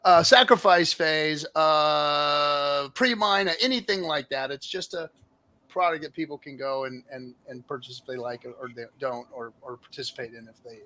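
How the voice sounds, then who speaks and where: A man's voice: on the high side, moderate pace, bright, forceful tone. A man hosting a casual live stream interview, informally rephrasing his questions as he goes. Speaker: host of an online hangout stream.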